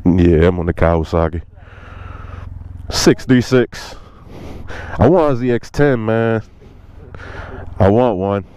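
A man vlogging casually from a motorcycle meetup outdoors, talking to viewers in relaxed slang through a helmet or body camera mic. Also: fairly low pitch, slow, pauses often, clean tone, no echo. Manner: laid-back slangy talk to viewers. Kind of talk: casual vlog narration. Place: outdoors at a parking lot meetup. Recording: loud wind and engine noise outdoors.

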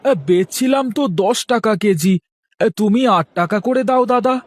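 A man's voice: sits high, moderate pace, some chatter behind, lightly acted. A man voicing a plain-living village vegetable seller in a cartoon, wheedling and defensive, full of colloquial interjections. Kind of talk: cartoon character dialogue.

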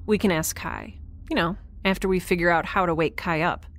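A woman's voice: fairly low pitch, brisk, lightly acted. A woman playing a thoughtful, curious young scientist, conversational and a little hesitant, in a naturalistic audio drama. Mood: subdued, sincere.

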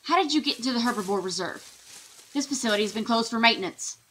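A woman's voice: on the high side, expressive, quick pace, fairly bright tone, slight background noise, low-quality recording. A woman playing a composed, proud paleontologist in an audio drama, speaking in a formal, explanatory way. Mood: suspicious. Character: composed, proud female paleontologist at dinosaur facility. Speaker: actress in a fan audio drama. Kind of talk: full-cast audio drama acting.

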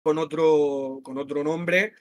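Man, late 30s, Murcian Spanish accent, rapper guest, relaxed, slightly high voice, dry close sound. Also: slow, dry recording. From Murcia, Spain. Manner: relaxed and open, calls people brother. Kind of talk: casual interview chat. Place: home room with the other guest.

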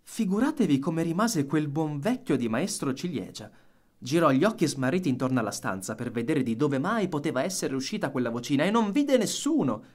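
A man narrates a storybook in an expressive, scripted style. His voice is fairly high for a man, with intonation that rises and falls widely. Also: a little fast. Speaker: audiobook narrator.

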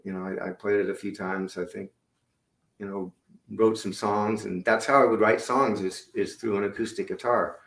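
A middle-aged man with a low voice, chatting loosely about his music over a video call, with many fillers and restarts. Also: fairly even intonation, moderate pace, vocal fry, a bit bright. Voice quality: lower-range voice. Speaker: musician guest. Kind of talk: casual interview chat. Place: home room indoors. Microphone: video call webcam. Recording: echoey room on webcam.

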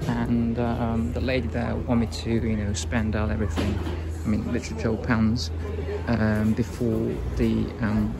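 Slow-talking man vlogging on the street. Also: fairly low pitch, monotone, gravelly, over loud music. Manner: slow, hesitant, many fillers. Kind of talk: casual walking commentary. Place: outdoors on London streets and in shops. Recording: outdoor street noise.

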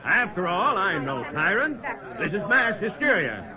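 A pompous small-town mayor in a 1940s radio play. His high, animated voice turns officious and pleading. Dull old recording with a crowd behind. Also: a little slow. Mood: flustered.

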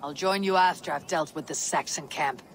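Stern Viking warrior leader. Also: female voice, low-pitched, slight background noise. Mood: commanding. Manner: stern, measured, resolute. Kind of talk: video game dramatic acting.

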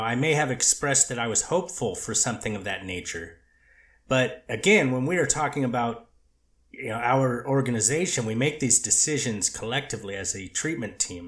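A man's voice: medium pitch, fairly bright tone. Guarded male counselor testifying, echoey room. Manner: measured, guarded, speaks in institutional terms. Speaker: treatment counselor testifying as witness. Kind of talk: courtroom testimony. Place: courtroom. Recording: echoey courtroom audio.

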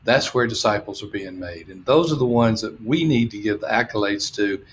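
A man's voice: on the low side, normal speed, slight background noise. A man in his sixties co-hosting a podcast, teaching in a conversational way. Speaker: podcast co-host. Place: small room, remote video call.

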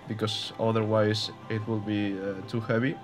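A man's voice: lowish voice, flat delivery, normal speed, music in the background. A young man from Spain with a Spanish accent, giving casual, hesitant commentary over gameplay with many fillers and restarts. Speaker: gaming YouTuber.

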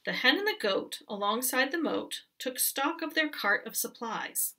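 A woman teacher reading a children's picture book aloud with expressive, rhythmic storytelling. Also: high voice, unhurried, distant mic.

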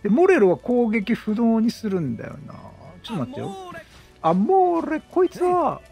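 A man doing chatty game commentary, animated, talking to himself and to the characters on screen. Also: very high-pitched, moderate pace, gravelly, faint music behind. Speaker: gameplay commentator.

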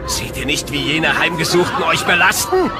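A stern Puritan magistrate, acted by a man, interrogates in a commanding, high voice. His delivery swings widely and insistently, with music and crowd behind him in a historical drama. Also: lively intonation, moderate pace, close to an everyday voice. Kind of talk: dramatized documentary re-enactment.

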